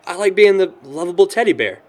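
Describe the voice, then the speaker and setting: A man's voice: high-pitched, fast. A young man with an American accent playing a sentimental, good-natured guy in an audio drama. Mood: apologetic. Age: young adult. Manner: chuckles often, animated storyteller. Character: cheerful young man, sentimental but worried about his sister.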